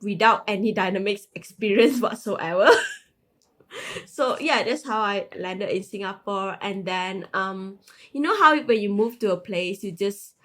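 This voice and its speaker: A woman in her thirties with a Malaysian English accent chats as a podcast guest. She talks easily and breaks into laughter often between phrases. Her voice sits at a middling pitch. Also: measured pace, fairly bright tone. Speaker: guest, tech manager. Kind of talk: casual interview chat.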